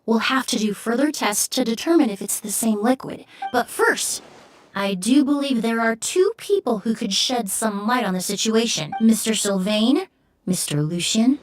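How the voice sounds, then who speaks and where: A woman's voice: a little high, animated, a little hiss or hum, lightly acted. A woman playing a polite, composed, analytical young perfumer in a video game, speaking formally. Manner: formal, measured, courteous.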